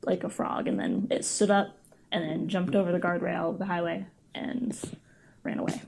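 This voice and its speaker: A woman's voice: on the low side, flat delivery, normal speed, gravelly, darkish tone, slightly rough. A woman co-hosting a podcast, telling stories in casual, informal chat and joking along.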